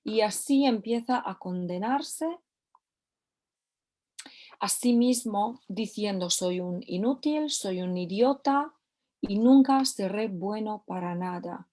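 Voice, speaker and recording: A woman's voice: mid-range voice, takes it slowly, warm tone. A woman teaching a recorded course lesson, often repeating key phrases for emphasis. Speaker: course instructor.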